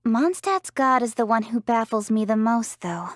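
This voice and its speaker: A woman's voice: fairly high pitch, expressive, normal speed, no echo, clean studio sound, lightly acted. A young woman voicing a diligent, proud young city official in a video game, speaking in an articulate, assertive, matter-of-fact way. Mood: reflective, candid. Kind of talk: scripted video game character voice lines.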